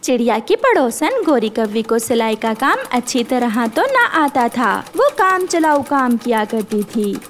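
A woman's voice: fairly high pitch, very animated, measured pace, few pauses, a little hiss or hum. A woman narrating a scripted children's story.

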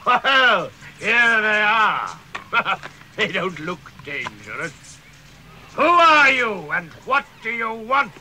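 An actor playing a huge, gruff, suspicious but hearty woodsman in an audio drama, blunt and brusque. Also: sits high, big pitch swings, takes it slowly, bright, forceful tone, a little hiss or hum, full character voice, rough recording. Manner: blunt, brusque, exclamatory questioning.

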